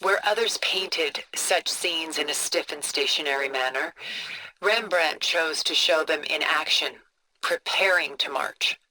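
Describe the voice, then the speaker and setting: An actress playing a refined, cultured, coolly composed older woman in a video game, speaking in a poised, deliberate, articulate way. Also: low voice, bright, forceful tone, rough recording. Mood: reflective, composed. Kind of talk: scripted video game dialogue, art commentary.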